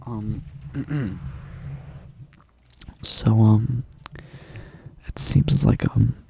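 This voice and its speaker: A man's voice: on the low side, drawn-out words, creaky, mellow, slightly rough, no echo. A man with a stuffy, congested nose, casually commentating a game tournament, rambling with lots of ums and restarts.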